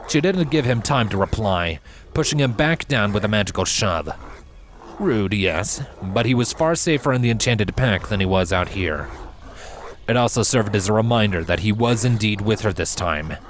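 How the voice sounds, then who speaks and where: A man reading scripted fan fiction as a narrator, with measured, dramatic storytelling. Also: lowish voice, expressive, slight background noise. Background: background music.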